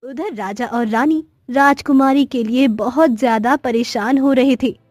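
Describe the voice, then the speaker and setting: A woman's voice: high voice, unhurried, darkish tone, no echo, clean studio sound. A woman reading scripted narration for a cartoon story as its narrator.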